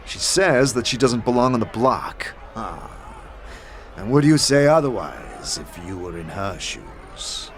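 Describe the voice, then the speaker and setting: A man's voice: lowish voice, sing-song, unhurried. An actor playing a theatrical, slick slave auctioneer in a fantasy audio drama, mock-courteous and drawing out his words. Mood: smug, genial. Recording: faint music behind the voice. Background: music.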